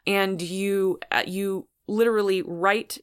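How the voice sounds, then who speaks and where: A woman's voice: mid-range voice, fairly even intonation, slow, clean tone. A woman hosting a solo podcast, talking casually in a rambling, chatty way about what she watches. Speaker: podcast host.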